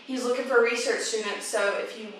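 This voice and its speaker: A woman's voice: on the high side, steady tone, fast talker, fairly bright tone. A young woman from South Carolina giving casual interview answers as an undergraduate student. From South Carolina, United States. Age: young adult. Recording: very echoey room, distant mic, faint noise.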